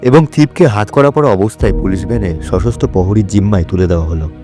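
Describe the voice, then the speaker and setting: A man's voice: lowish voice, fast, over music. A man narrating a story for an audio drama in a scripted, dramatic storytelling style.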